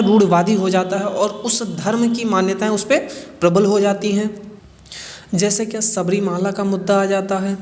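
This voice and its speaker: A man's voice: high-pitched, darkish tone. A man giving an explanatory current-affairs lecture in a didactic way, posing rhetorical questions and answering them himself. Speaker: educational explainer presenter. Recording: echoey reverberant room.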